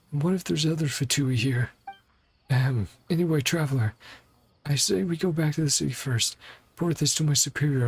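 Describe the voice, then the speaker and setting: A man's voice: fairly high pitch, gravelly, husky, voice pushed up. A man voicing a wary, grumbling city guard in a fantasy game, talking in a suspicious, defensive way. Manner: defensive, suspicious, reasoning aloud.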